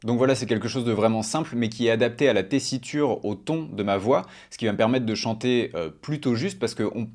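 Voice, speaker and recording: A man's voice: medium pitch, a little mellow. Casual man giving a tutorial. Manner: informal, explanatory. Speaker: host of music production tutorials. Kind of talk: casual tutorial talk to camera. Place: small home studio room.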